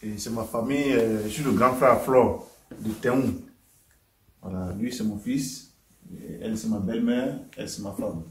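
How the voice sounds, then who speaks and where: Male church member giving a testimony, halting, recorded by a distant camera in a roomy space. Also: on the low side. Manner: hesitant, full of "euh" and "voilà". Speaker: testimony giver, head of family. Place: small meeting room. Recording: roomy sound from a far camera.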